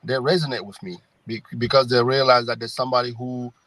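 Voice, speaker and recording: A man's voice: mid-range voice, steady tone, fast, fairly bright tone, dry recording, rough recording. Cameroonian guy, middle aged, political candidate chatting in an interview, dry close sound. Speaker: congressional candidate, guest. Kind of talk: conversational campaign interview.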